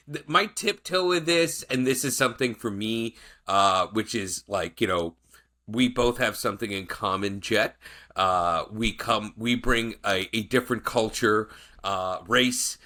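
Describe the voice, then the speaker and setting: A male podcast host with a bright, crisp tone and lively, up-and-down pitch. He rambles a little and searches for words as he frames his questions. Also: unhurried. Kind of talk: casual conversational interview.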